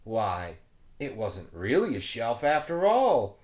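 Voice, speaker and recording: A man's voice: mid-range voice, huge pitch swings, slow pace, darkish tone. A man reading a children's picture book aloud expressively, with playful asides to young listeners. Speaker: children's story reader, teacher.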